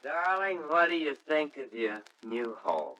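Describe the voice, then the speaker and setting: An actor playing a breezy, impulsive young American husband in an old radio drama, glib and excitable. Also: male voice, fairly high pitch, measured pace, soft background music, heavily acted. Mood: breezy, boastful. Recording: faintly noisy old radio recording.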